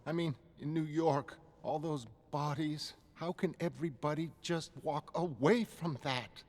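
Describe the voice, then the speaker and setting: A man voicing a shaken, blunt young woman in a motion comic, halting and emotional. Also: fairly high pitch, fairly even intonation, a little mellow. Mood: shaken, pleading.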